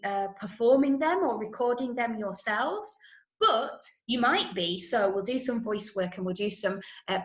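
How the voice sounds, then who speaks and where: A woman with an English accent leading an online drama workshop for children, giving clear instructions and often checking in. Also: a little high, fairly bright tone. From Manchester, England. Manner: clearly instructional, frequent check-ins with okay and right. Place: indoors, online video session, roomy sound. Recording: roomy echo on online call.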